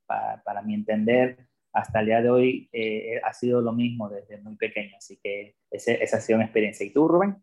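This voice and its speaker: A man's voice: lowish voice, brisk, a little mellow. A man from Ecuador co-hosting a podcast, speaking in a hesitant way with many restarts. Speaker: podcast co-host. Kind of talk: casual conversation.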